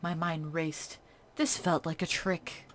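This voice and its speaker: A woman playing a horror visual novel, reading its text in a hush and breaking off into casual reactions. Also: low voice, fairly even intonation, slow pace, slight background noise. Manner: hushed reading broken by chatty reactions. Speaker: game streamer. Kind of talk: reading a game's text aloud with casual commentary.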